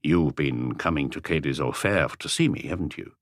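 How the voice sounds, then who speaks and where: A man voicing a calm, weary police inspector in an audiobook, putting patient, measured questions. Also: no room sound, clean studio sound. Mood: probing. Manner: measured, low-key questioning. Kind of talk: audiobook character dialogue.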